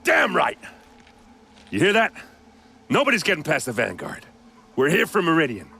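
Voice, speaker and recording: Hearty, swinging-voiced soldier captain. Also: male voice, on the high side, wildly swooping pitch, normal speed, vocal fry, sharp tone, slightly rough, heavily acted. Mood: rousing. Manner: blustery, teasing, rousing. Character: brash, hearty soldier captain, loyal and joking. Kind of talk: video game cutscene acting.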